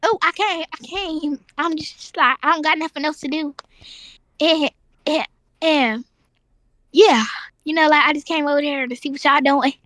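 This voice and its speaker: Achy, slangy old granny for game roleplay, played by a woman, very high voice, close and dry. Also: fairly even intonation, moderate pace, pauses often, fairly bright tone, no echo. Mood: rambling. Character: eccentric old grandmother with aches and a creepy doll.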